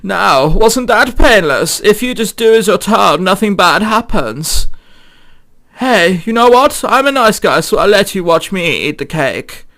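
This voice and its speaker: A man voicing a greedy, gloating evil villain for a visual novel in a put-on German-Dutch accent, taunting in a drawn-out, theatrical way. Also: sits high, very animated, pushed and bright, exaggerated voice. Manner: taunting, drawn-out, ominous laughing.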